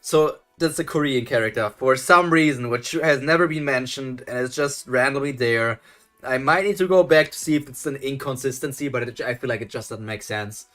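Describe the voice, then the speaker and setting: A man's voice: medium pitch, normal speed, a bit bright, soft background music. A man streaming a live reading of a fan story, stumbling and correcting himself as he reads and stopping to critique the writing. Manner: reads aloud with frequent self-corrections, breaks off to critique. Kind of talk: live reading with reaction commentary.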